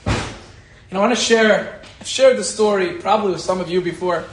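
A man, a rabbi, giving an animated Torah lecture and telling a personal story, repeating key phrases for emphasis. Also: sits high, moderate pace, roomy sound, slight background noise, rough recording. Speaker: rabbi teaching a Torah class.

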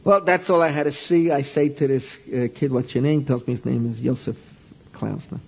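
An older man, a rabbi and teacher, telling an anecdote to a class in an animated, informal lecture, raising his voice when he quotes himself, on a dull lo-fi archival tape. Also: medium pitch, moderate pace, creaky, breathy edge. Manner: animated anecdotal, voices his own past lines loudly.

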